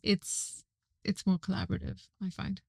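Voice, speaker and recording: A woman's voice: mid-range voice, little pitch movement, moderate pace, creaky, warm tone, no echo, clean studio sound. A woman guest from Dubai in casual conversation about her agency work, thinking aloud with many restarts and fillers. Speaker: agency team member, guest.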